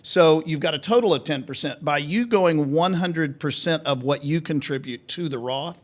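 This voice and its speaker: A man's voice: a little high, moderate pace. A man co-hosting a finance radio show, explaining plainly and walking through examples. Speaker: financial advisor, co-host. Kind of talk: casual radio chat.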